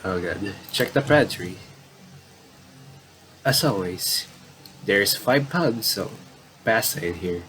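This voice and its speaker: Male let's player, lowish voice, animated intonation, casual and rambling, reads on-screen text aloud, faint background noise. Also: slow, pauses often. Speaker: gamer reading a visual novel aloud with commentary. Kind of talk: casual let's play commentary mixed with reading game text aloud.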